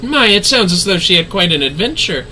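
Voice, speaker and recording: A man's voice: high-pitched, sing-song, a little fast, bright, forceful tone, a little hiss or hum, heavily acted, low-quality recording. A man voicing a refined, earnest woman leader in a fantasy game, speaking in formal, solemn, archaic phrasing. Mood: warm, formal.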